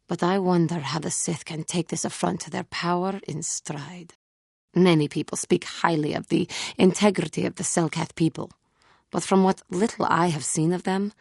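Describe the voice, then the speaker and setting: A woman voicing an earnest, troubled young female Jedi warrior in a video game, speaking formally and with measured care in a husky voice. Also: fairly low pitch, mellow, dry recording, studio quality. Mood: disdainful. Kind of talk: scripted game character dialogue.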